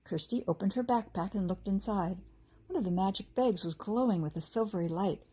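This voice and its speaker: A woman reading a storybook aloud as a teacher would to children, with expressive delivery and character voices. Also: mid-range voice, fairly quick, husky.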